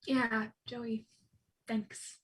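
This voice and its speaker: Woman playing a high-pitched, anxious young co-op worker. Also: level tone, very slow, husky, full character voice. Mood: subdued. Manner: stammering, overwrought. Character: anxious, guilt-ridden young co-op worker, earnest and dramatic. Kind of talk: comedy table read acting.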